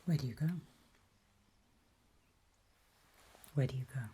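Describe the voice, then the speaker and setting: A woman voicing a calm, ominous gatekeeper in a hushed, breathy ASMR roleplay, speaking mostly in deliberate whispers with pauses. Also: a bass voice, exaggerated voice. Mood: quietly instructive. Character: calm, ominous female gatekeeper briefing travelers. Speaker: ASMR performer.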